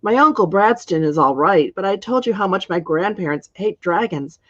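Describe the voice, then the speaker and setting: A woman's voice: mid-range voice, animated, moderate pace, lightly acted. Storybook princess, young and earnest, voiced by a woman reader, slightly dull lo-fi sound. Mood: uneasy. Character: young princess planning a small wedding, earnest.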